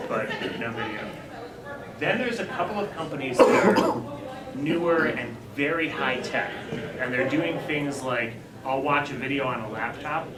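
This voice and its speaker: A man who founded a startup pitches his company and answers audience questions in a conversational, explanatory way, with quick self-corrections. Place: event room. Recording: echoey, distant, noisy room.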